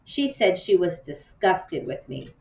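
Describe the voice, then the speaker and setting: A woman's voice: fairly low pitch, big pitch swings, measured pace. A woman voicing a hurt, indignant young girl from a storybook, urgent and aggrieved. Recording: muffled, roomy, distant from mic.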